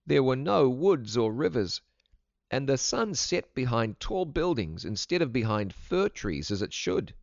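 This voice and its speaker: A man's voice: mid-range voice, vocal fry, no echo, highs cut off, pitched above the performer's own voice. A man voicing an earnest, homesick runaway country boy in a storybook, speaking plaintively and wistfully.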